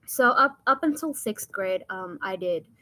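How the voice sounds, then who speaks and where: A woman's voice: mid-range voice, animated, measured pace, pushed and bright. A young woman whose first language is Hindi, from India and the United States, telling personal stories in a casual, rambling, informal way as a podcast guest.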